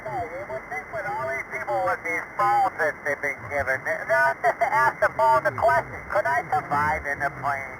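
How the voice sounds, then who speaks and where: Gravelly guy on cb, voice goes up and down a lot, static reception. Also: a little high, huge pitch swings, normal speed, hardly any pauses. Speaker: CB radio operator. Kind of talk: casual radio chat. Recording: noisy, crackly CB sideband radio reception. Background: radio static.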